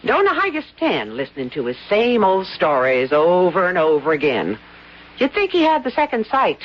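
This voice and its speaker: Casting: middle-aged innkeeper, dismissive and bossy, lower-pitched and animated, muffled vintage radio drama. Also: female voice, normal speed, a little hiss or hum. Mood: scornful, brisk. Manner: dismissive, no-nonsense. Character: brisk, scoffing female innkeeper, a nagging wife. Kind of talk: old-time radio drama acting. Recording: dull, muffled old radio recording.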